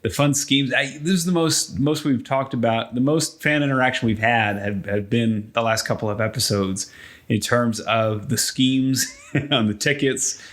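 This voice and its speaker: A man's voice: mid-range voice, normal speed, a bit bright. A man co-hosting a casual wrestling podcast, talking enthusiastically like an announcer. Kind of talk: casual podcast chat about pro wrestling.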